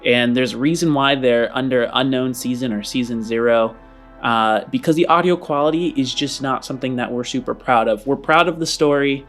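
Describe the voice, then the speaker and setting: A man's voice: mid-range voice, normal speed, fairly bright tone. A man hosting a tabletop role-playing podcast, welcoming listeners in an earnest, rambling way, with faint music behind him. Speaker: game master and podcast host. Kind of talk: casual podcast intro, then dramatic narration.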